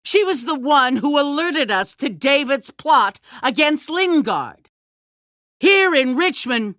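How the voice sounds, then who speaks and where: A woman playing a calculating, ruthless leader of a survivor town in a video game, speaking in a measured, condescending way with controlled menace. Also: high voice, sharp tone, no room sound, clean studio sound, full character voice. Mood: stern, menacing. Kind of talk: dramatic video game acting.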